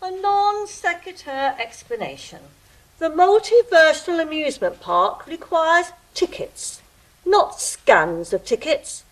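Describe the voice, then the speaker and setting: A fussy gatekeeper creature played by a woman in an audio drama, high-voiced and unhurried. It is pompous and pedantic, lingering theatrically as its pitch swoops up and down. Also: sing-song, exaggerated voice, low-quality recording. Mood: indignant, fussy. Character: fussy, officious ticket-eating gatekeeper creature. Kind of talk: stage-style audio drama acting.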